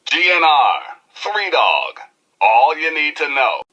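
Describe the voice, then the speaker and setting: Cocky american wasteland radio dj for a game, super bright forceful voice, swings all over, slangy showman. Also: fairly high pitch, very animated, dull audio, exaggerated voice. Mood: exuberant, showy. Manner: showy, slangy, drawn-out emphasis with howls. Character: flamboyant, cocky male post-apocalyptic rebel radio DJ. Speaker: radio DJ and newscaster. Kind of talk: theatrical radio DJ patter.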